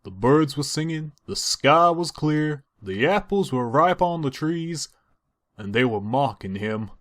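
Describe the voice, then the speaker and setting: A man's voice: on the high side, lively intonation, unhurried, fairly bright tone. A man reading a story aloud as narrator, in a dramatised storybook style.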